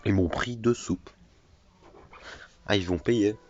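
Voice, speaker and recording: A man's voice: lowish voice, slow pace, darkish tone, lo-fi sound, a little hiss or hum. A man with a cold giving chatty, self-mocking commentary over a survival video game, often repeating his phrases. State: has a cold. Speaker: gaming YouTuber. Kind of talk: casual let's play commentary.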